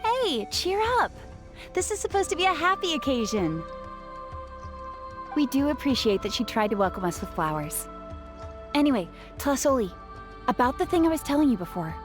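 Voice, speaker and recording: Upbeat, higher-pitched young woman. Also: big pitch swings, moderate pace, pauses often, over music. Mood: encouraging. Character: upbeat, grateful young woman with salesy flair. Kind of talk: video game dialogue.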